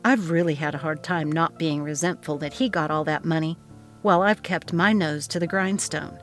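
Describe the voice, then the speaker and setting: An earnest young adult son read by a woman, sober and restrained, in a text-message audio drama. The voice is on the low side, with music playing along. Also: moderate pace, close to an everyday voice. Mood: frank, resentful.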